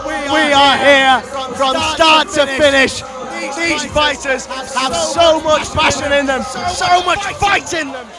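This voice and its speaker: A man commentating excitedly on a live boxing match, emphatic and repeating his phrases. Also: very high-pitched, slow pace, pauses often. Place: boxing event, amid the crowd. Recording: loud crowd and music in noisy venue.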